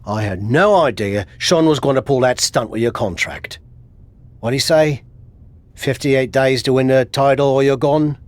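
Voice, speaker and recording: A man's voice: mid-range voice, a little slow, no echo, natural voice. A man playing a frank, supportive wrestler mentor in naturalistic video game dialogue. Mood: wry. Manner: frank, advising.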